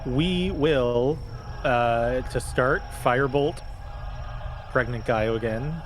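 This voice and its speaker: Man, medium pitch, slow delivery, casual player thinking aloud, clear music underneath. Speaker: tabletop game player. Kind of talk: casual chat while playing.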